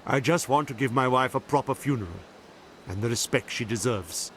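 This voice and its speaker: A man's voice: mid-range voice, a little fast, fairly bright tone, slight background noise, natural voice. A man playing a polite, grieving widower in a video game, speaking formally and in a subdued, pleading manner. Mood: sad, frustrated.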